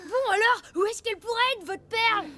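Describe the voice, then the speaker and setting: A woman voicing an excitable, easygoing cartoon schoolboy with casual, exclamatory kid talk. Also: extremely high pitch, fairly quick. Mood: eager. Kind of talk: French animated cartoon dub. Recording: music behind the voice. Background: music.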